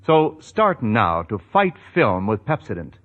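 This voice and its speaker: A man's voice: fairly low pitch, very animated, a little slow. A man reading a 1940s radio advertisement as an announcer, with an emphatic, reassuring sales delivery. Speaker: commercial announcer. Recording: tinny band-limited old radio recording.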